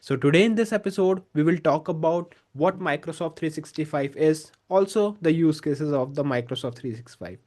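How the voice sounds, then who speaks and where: Higher-pitched male host. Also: moderate pace, few pauses, a little mellow. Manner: polite, formal. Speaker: podcast host. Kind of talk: interview hosting.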